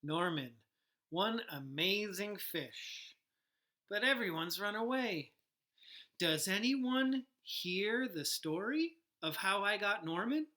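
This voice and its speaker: Bright-voiced woman reading slowly to children. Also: mid-range voice. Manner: animated, acts out sounds, asks listeners questions. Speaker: teacher reading a picture book aloud. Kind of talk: expressive read-aloud for young children.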